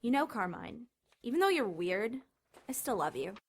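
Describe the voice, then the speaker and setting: A sarcastic, lovesick teenage girl from an audio drama. Her voice is high and swings widely, with theatrical flair as she needles everyone around her. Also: sing-song, normal speed, slightly rough, full character voice. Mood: coaxing.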